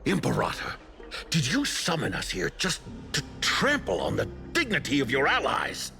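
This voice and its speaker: A man's voice: medium pitch, huge pitch swings, normal speed, creaky, bright, forceful tone, soft background music, heavily acted. A man playing a defiant, indignant council elder in a game, speaking in an emphatic, rhetorical manner. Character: indignant, defiant male council elder rallying his comrades. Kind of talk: dramatic game voice acting.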